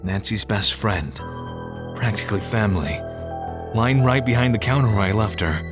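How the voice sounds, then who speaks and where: A man's voice: fairly low pitch, moderate pace, over music, natural voice. A man playing a sardonic, blunt police detective in an audio crime drama. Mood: somber narration. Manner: sarcastic, blunt. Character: sardonic, gruff adult male police detective. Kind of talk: audio drama acting with narration.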